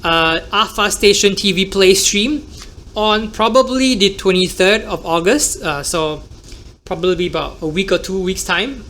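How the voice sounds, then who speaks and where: A man's voice: sits high, measured pace, pushed and bright. A young Singaporean man with a Singaporean accent, hosting a casual solo podcast and rambling with many fillers. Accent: Singaporean with American-leaning English. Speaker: podcast host.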